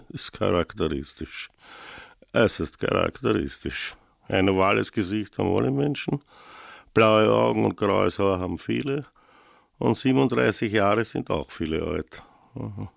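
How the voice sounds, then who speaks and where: Sardonic cop in radio drama, low and really creaky, close dry sound. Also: male voice, measured pace, dry recording. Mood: dryly mocking. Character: pedantic, sardonic male village police sergeant. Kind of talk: radio play acting.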